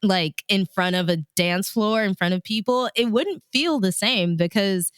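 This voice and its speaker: A woman's voice: medium pitch, measured pace, clean tone, no room sound, clean studio sound. A woman speaking as a radio DJ being interviewed, telling stories in casual, conversational chat. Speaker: radio DJ and show host, interview guest.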